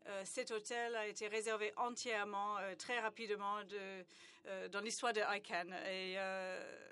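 A woman's voice: mid-range voice, flat delivery, a bit bright, clear, resonant tone. A woman gives live simultaneous interpretation of a conference speech. She hesitates often and fills the gaps with stalling sounds. She restarts phrases mid-word, then carries on. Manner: halting, many hesitations and restarts.

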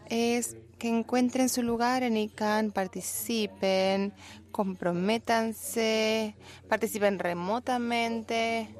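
A woman with a Rioplatense Spanish accent doing simultaneous interpretation, relaying speech in a steady, even way. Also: on the high side, slow pace.

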